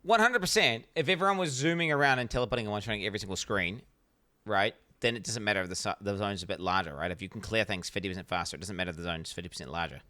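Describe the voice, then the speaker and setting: NZ-accented male streamer, profane and rambling, crisp clear tone. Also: fairly low pitch, very fast, pushed and bright, no room sound. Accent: New Zealand. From New Zealand. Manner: blunt, profane, rambling with many restarts. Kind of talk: casual reaction stream commentary.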